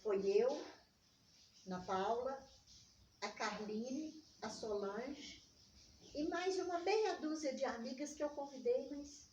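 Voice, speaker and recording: An older woman with a Minas Gerais accent, telling personal stories in conversation and acting out remembered dialogue in an animated way. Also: high-pitched, slow. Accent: Brazilian Portuguese from Minas Gerais. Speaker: interviewee, community organizer. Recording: echoey room, distant mic, dull, faint noise.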